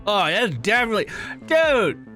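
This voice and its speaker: A man's voice: high-pitched, big pitch swings, moderate pace, sharp tone, soft background music. A man giving casual, chatty gameplay commentary as a streamer, informal in his wording. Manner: chatty, informal, reads game text aloud. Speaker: gaming streamer.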